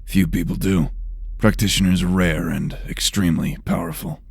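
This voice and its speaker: A man's voice: low-pitched, steady tone, normal speed, vocal fry, breathy edge, no room sound, heavily acted. A man playing a brusque investigator of magical murders in a fantasy audio drama, blunt and demanding. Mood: grim, explanatory.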